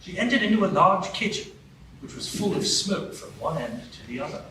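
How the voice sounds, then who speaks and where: A man's voice: sits high, big pitch swings. Male narrator in an echoey hall. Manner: measured, storytelling. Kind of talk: storybook narration in a live radio play. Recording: echoey hall, distant mic, noisy.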